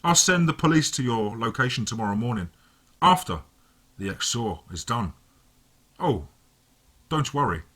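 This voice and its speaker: Guy doing a smooth creepy villain on the phone, slow and mocking, bright husky voice. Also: mid-range voice. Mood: gloating. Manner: calm, mocking, drawn-out menace. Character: sinister gloating male villain on phone.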